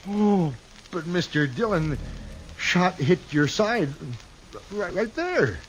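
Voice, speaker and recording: High-pitched, frightened frontier fugitive. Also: male voice, lively intonation. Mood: distraught, remorseful. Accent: American. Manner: halting, stammering, pleading. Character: frightened, meek, unstable fugitive cowboy, adult man. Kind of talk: old-time radio drama acting. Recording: dull, lightly noisy old radio recording.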